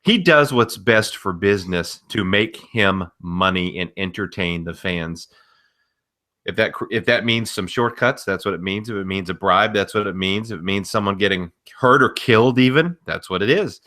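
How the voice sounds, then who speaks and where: A man's voice: on the low side, moderate pace, sharp tone. A man co-hosting a podcast, explaining things in a casual, knowledgeable way. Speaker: podcast co-host.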